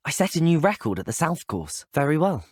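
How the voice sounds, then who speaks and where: Husky, polite young student wizard. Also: male voice, fairly high pitch, very animated, a little fast, dry recording, studio quality. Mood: polite, earnest. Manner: courteous, well-spoken, formal phrasing. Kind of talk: acted video game dialogue clips.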